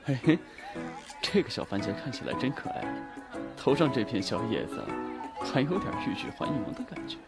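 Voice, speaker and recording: Music runs behind a man playing a polite young bachelor. He has a gentle, musing way of talking to himself. The delivery is everyday, soft audio drama acting. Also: medium pitch, sing-song, moderate pace. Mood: musing, charmed.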